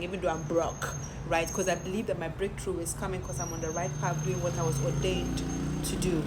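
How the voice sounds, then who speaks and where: A woman talks frankly to camera in a personal monologue, often repeating words and restarting her sentences. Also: fairly low pitch. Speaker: content creator and TV presenter. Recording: steady background noise.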